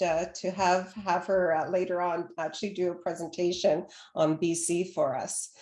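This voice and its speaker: Woman host on a video call, slightly low voice, hesitant delivery, roomy echo. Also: a little mellow. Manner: hesitant, many fillers and restarts.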